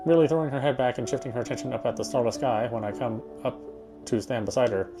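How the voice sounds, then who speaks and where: Male game player narrating prose aloud, occasional stumbles, clear music bed behind. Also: medium pitch, fast. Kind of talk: first-person story narration read aloud.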